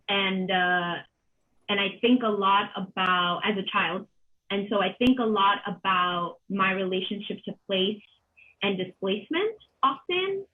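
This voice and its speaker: A woman in her thirties from Colombia speaking reflectively and haltingly as a panel moderator, with many pauses and repeated words. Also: mid-range voice. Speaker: panel moderator, arts organization director. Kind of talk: reflective, unscripted panel remarks. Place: home kitchen, on a video call. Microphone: computer mic on a video call. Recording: dull, band-limited video call audio.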